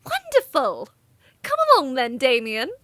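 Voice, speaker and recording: A woman playing a bossy high-pitched noble mother. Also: huge pitch swings, a little slow, bright, forceful tone, dry recording, full character voice. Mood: commanding. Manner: theatrical, bossy.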